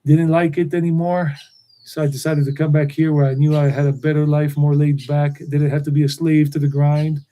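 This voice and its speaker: Meandering man, mellow voice. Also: fairly high pitch, little pitch movement, moderate pace. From United States. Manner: meandering, repeats himself. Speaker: tour guide and channel host. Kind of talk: rambling live stream chat.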